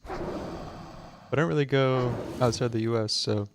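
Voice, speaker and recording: A young man from the Chicago suburbs talks to his viewers while he plays, unhurried and friendly in an offhand way. His voice sits at a middling pitch. Game music plays clearly behind him. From Chicago suburbs, USA. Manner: relaxed, chatty, answers viewers aloud with fillers. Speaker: gaming streamer. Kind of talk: casual chat while playing a game.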